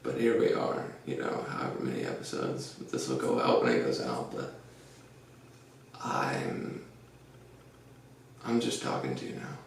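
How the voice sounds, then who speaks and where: A man hosting a casual podcast, with a deep, gravelly voice, rambling through an earnest pep talk full of fillers. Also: huge pitch swings, a little slow, long pauses, far from the mic. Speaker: podcast host. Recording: echoey room, off-mic, faint noise.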